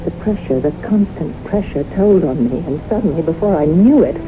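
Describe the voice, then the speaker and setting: Haunted reserved secretary, radio drama, measured n grave, lowish female voice, lots of hiss and rumble. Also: expressive. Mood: grave, reflective. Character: reserved, haunted woman secretary recounting her life. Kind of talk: 1970s radio drama acting, narration and dialogue. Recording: noisy muffled old radio broadcast recording.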